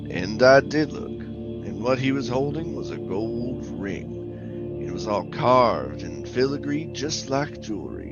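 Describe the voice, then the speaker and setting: A man's voice: medium pitch, highs cut off. An actor playing a folksy, talkative oil-rig worker who tells his eerie tale in colloquial radio horror drama style. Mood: tense narrating. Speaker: actor and narrator. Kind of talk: old-time radio horror drama acting and first-person narration.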